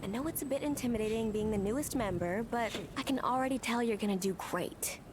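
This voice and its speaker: A woman voicing a blunt, excitable young villager in a video game, with an emphatic, slangy way of talking. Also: a little high, quick pace, natural voice. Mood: thrilled.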